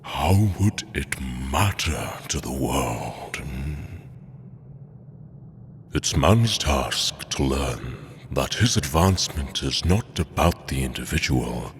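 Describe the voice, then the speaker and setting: An actor playing a mysterious, all-knowing mentor entity in an audio drama, speaking in a solemn, measured, philosophical way with reverb on the voice. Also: male voice, very deep voice, lively intonation, a little hiss or hum, full character voice. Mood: wise, probing. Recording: cavernous long reverb, faint music behind.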